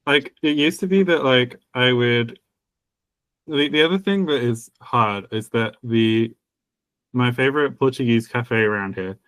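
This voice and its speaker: A man's voice: medium pitch, measured pace, fairly bright tone, smooth, dry recording. A man co-hosting a podcast, chatting casually about language, rambling with many restarts and laughing at himself. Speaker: podcast co-host.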